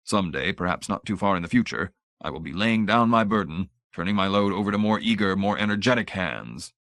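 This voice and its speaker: Man performing an elderly male research director, audiobook character, pompous, grand, timid, fussy, lowish voice. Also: lively intonation, brisk, a bit bright, no room sound, clean studio sound. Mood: pompous, earnest. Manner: grandly intoning, idealistic, fussy.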